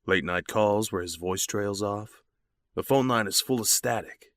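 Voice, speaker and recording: An actor playing a secretive, intense noir crime accomplice in an audio drama. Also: male voice, fairly low pitch, measured pace, a bit bright, no echo. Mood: sly, ominous.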